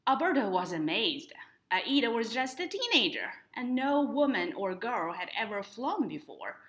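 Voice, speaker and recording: A young woman reading a children's picture book aloud in an expressive, deliberate storytelling style, adding short explanatory asides. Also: fairly high pitch. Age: young adult. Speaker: library storytime reader. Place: indoors in front of a painted woodland mural.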